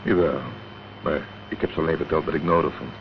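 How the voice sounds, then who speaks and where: Blunt protective private eye in radio drama, really deep voice, short direct lines, dull noisy tape. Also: male voice, fairly even intonation, fast talker. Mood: sober, explaining. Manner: terse, direct. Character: blunt, dry, protective male private detective. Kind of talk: Dutch radio drama acting. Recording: muffled, noisy old radio recording.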